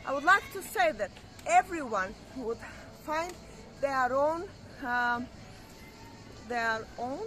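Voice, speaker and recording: A woman's voice: sits high, animated, slow, bright, forceful tone, poor audio. A woman with a non-native English accent co-hosting a vlog, enthusiastic and hunting for words. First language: not English. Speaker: vlog co-host. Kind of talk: casual vlog chat. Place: outdoor holiday resort. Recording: faint outdoor background noise.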